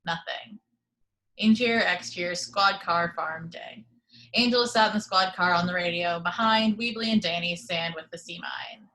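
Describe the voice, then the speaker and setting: Woman reading stage directions, bright tone, even and steady. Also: on the low side, normal speed, low-quality recording. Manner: steady, matter-of-fact reading. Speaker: reader of the action description. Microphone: video call.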